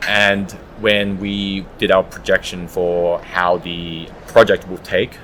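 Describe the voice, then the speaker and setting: A man's voice: low voice, level tone, slow pace, sharp tone, a little hiss or hum, rough recording. A man giving conversational, explanatory answers as an audiobook producer being interviewed.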